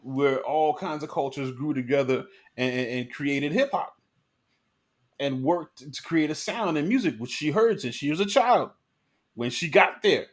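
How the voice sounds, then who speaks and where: A man's voice: a little high, animated, a little slow. Earnest man in casual discussion. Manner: earnest, many restarts and "you know". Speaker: podcast co-host.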